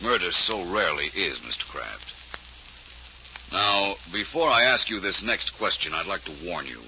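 A shrewd radio detective with a low, deep voice. He is measured, urbane and dryly courteous, coolly probing, on a muffled, hissy 1940s recording. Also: expressive, pushed and bright, heavily acted.

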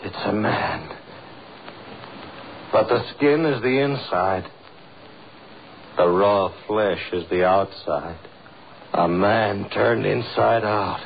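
A bossy determined doctor in a 30s horror radio play, american accent, gravelly edge, muffled old recording. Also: male voice, on the low side, takes it slowly, long pauses, a little hiss or hum. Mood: horrified. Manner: urgent, commanding, melodramatic. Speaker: actor in a horror radio drama. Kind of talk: 1930s radio horror acting.

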